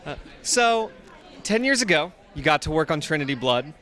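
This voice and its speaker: A man's voice: fairly high pitch, very animated, moderate pace, pushed and bright, a faint crowd. A man interviewing a guest at a convention, upbeat and asking short prepared questions. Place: outdoors at a convention.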